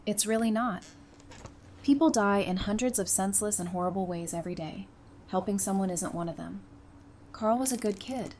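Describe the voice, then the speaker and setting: A woman's voice: medium pitch, normal speed, a little mellow, close to an everyday voice. A wry former psychiatrist teases and needles in easy banter, played by a woman. Her voice sits in the middle range. There is faint noise under the recording of this fan audio drama. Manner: dry, teasing banter. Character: Talissa, young woman psychiatrist, wry and teasing.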